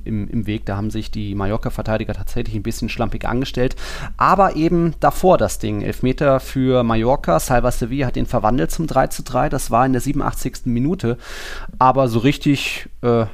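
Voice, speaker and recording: A man's voice: medium pitch, vocal fry. A man hosting a casual football podcast, chatting colloquially and acting out imagined quotes as he goes. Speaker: podcast host.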